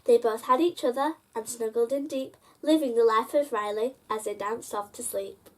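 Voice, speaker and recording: A high-voiced woman reads a bedtime picture book aloud. Her delivery is expressive and sing-song, following the rhymes, and she turns to speak straight to young listeners. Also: moderate pace. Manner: sing-song, addressing children directly. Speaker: children's storyteller.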